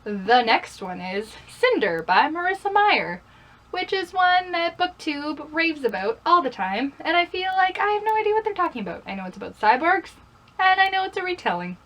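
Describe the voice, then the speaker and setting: A woman's voice: very high-pitched, very animated, moderate pace, a bit bright. A young woman chatting casually in a vlog style, playfully self-deprecating and often putting questions to herself. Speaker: book vlogger. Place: bedroom.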